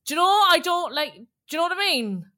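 Bright-voiced, blunt Cork Irish woman. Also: very high voice, expressive, fast. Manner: blunt, colloquial, sweary. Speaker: podcast co-host. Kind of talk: casual chat.

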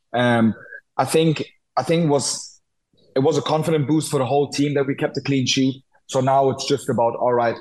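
Young male German footballer, slight Scouse lilt, casual halting interview delivery, little pitch movement. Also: a little mellow, poor audio. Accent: German with a touch of Scouse. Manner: halting, repeats words. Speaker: football goalkeeper being interviewed.

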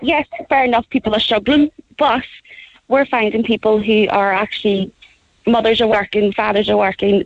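A woman's voice: dry recording, muffled recording. Belfast woman calling in, emphatic. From Northern Ireland. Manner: lively, emphatic, pitch jumps up when stressing points. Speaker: caller, back-to-school campaign organiser. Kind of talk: phone-in radio chat.

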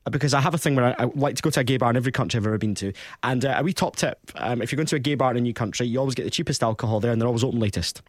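A man's voice: mid-range voice, rapid-fire, few pauses, no room sound, studio quality. A Scottish man co-hosting a casual chat show, talking with chatty ease. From Scotland. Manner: chatty, uses Scottish words. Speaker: radio co-host.

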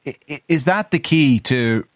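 An Irish man hosting a radio show and speaking in a relaxed, conversational way. He asks probing questions at a middle pitch. His voice is recorded dry, with no room sound. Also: moderate pace, dry recording. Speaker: radio host. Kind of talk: radio interview.

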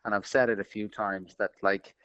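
Earnest Dublin man, lower voice. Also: flat delivery, normal speed, fairly bright tone, smooth, dull audio. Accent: Dublin Irish. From Dublin, Ireland. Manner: earnest, searching for words, many restarts. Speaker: filmmaker being interviewed. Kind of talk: reflective interview talk.

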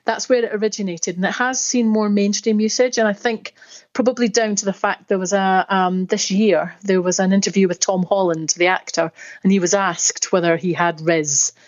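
A woman's voice: mid-range voice, steady tone, moderate pace, muffled recording. A woman with a Scottish accent, a dictionary editor guest on a radio interview, explaining thoughtfully with fillers and restarts. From Scotland.

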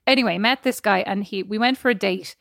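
A woman's voice: mid-range voice, fairly quick, vocal fry, a bit bright, no echo, clean studio sound. A young Irish woman co-hosting a podcast, telling stories in a chatty way and acting out what people said. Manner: chatty storyteller, acts out quotes. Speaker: podcast co-host. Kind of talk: casual chat.

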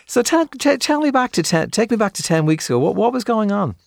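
Animated male radio host. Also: sits high, brisk, vocal fry, no room sound, studio quality. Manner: friendly, stumbles and restarts questions. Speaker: radio talk show host. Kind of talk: radio interview.

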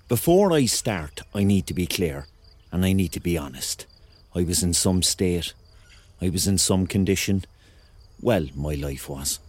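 An actor playing a hapless, self-mocking thirty-year-old man with a Cork accent, telling his story as a rambling, wry confession in a radio drama. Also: deep voice. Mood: confessional, wry. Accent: Cork Irish.

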